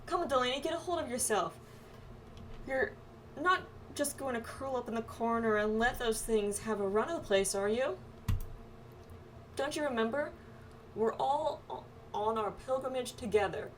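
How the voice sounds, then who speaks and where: A woman voicing a worried but steady companion from a fantasy game, earnest and gently admonishing. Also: fairly high pitch, moderate pace, a little hiss or hum, close to an everyday voice. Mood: urging. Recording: distant mic, music behind.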